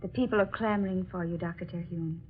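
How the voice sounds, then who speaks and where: A woman's voice: fairly low pitch, steady tone, quick pace, lightly acted. A woman acting a devoted, romantic young princess in a melodramatic old-time radio drama. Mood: admiring. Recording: dull, noisy old radio recording.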